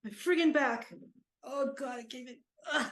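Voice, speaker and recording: A woman playing a cranky, sentimental aging ex-baseball pitcher with an aching back, rambling and muttering in an improvised monologue. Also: moderate pace, fairly bright tone. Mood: pained. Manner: rambling, muttering, groaning, talks fondly to objects. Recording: echoey room.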